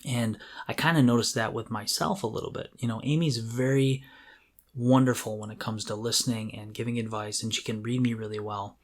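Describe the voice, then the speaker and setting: A man's voice: medium pitch, steady tone, a little fast. A man co-hosting a podcast in casual chat, speaking candidly with a jokey, self-deprecating manner. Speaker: podcast co-host.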